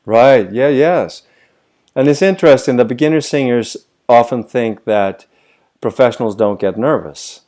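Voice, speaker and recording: A man's voice: medium pitch, unhurried, a little mellow. A middle-aged man from Sweden, a voice coach answering interview questions thoughtfully over a video call and posing many rhetorical questions.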